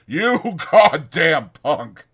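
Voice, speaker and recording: Man voicing a rough ranch hand, jeering, threatening, loud. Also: on the high side, big pitch swings, drawn-out words, voice pushed up, full character voice. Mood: taunting. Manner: mocking, bullying.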